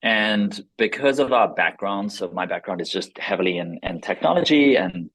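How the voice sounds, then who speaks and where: A man's voice: on the low side, animated, moderate pace, fairly bright tone, rough recording. A man in his forties who was born in Israel and grew up in South Africa, speaking as a company co-founder in an earnest, enthusiastic podcast interview. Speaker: company co-founder and CEO, guest.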